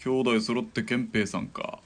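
An actor playing a hot-tempered, mocking killer and ex-gang boss in an audio drama, with rough slangy speech. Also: male voice, medium pitch, fairly even intonation, a little slow, natural voice. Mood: menacing.

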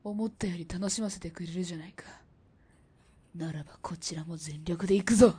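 A woman's voice: fairly low pitch, brisk, mellow, husky. A woman playing a cold, battle-hardened sniper in an audio drama, composed and menacing. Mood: menacing, defiant. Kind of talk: Japanese audio drama acting.

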